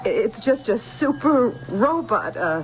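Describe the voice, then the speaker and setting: An innocent, devoted young woman in a vintage radio play. She speaks slowly and haltingly in a fairly high voice, full of feeling and wonder. The sound is dull, like an old broadcast with music. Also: sing-song, a little hiss or hum. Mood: distressed. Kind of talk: 1970s American radio drama acting.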